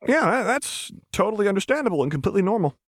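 Nervous, put-upon man, read by a male narrator, animated pitch. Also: moderate pace, dry recording, studio quality. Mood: awkward, placating. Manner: hesitant, placating, earnest. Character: nervous, apologetic, put-upon ordinary man, loyal friend. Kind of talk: audiobook character voice.

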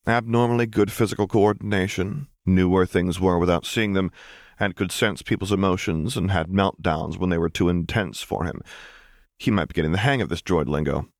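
A man's voice: low voice, normal speed, dry recording, studio quality. A man reading a story aloud as scripted audiobook narration. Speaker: audiobook reader.

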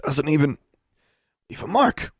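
A man's voice: on the low side, animated, extremely slow, a little mellow, no echo, studio quality, exaggerated voice. A man voicing a defiant, battered teenage witch girl, terse and sardonic, in an audiobook story. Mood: pained defiance.

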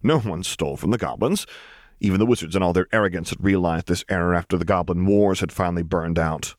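A man's voice: low voice, fast, dry recording, studio quality. A man reading a scripted story aloud as an audiobook narrator.

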